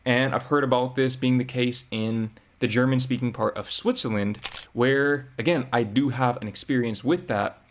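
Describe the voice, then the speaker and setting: A man's voice: mid-range voice, normal speed, hardly any pauses, slight background noise. A man talking through his thoughts as a reaction vlogger, reflective and conversational. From United States. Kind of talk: casual commentary.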